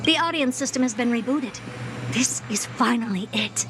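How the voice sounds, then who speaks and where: A woman's voice: fairly high pitch, normal speed, noisy background, lightly acted. A woman voicing a haughty, smug genius scholar in a video game, speaking in a pompous, mocking, theatrical manner. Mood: intent, wondering. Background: music.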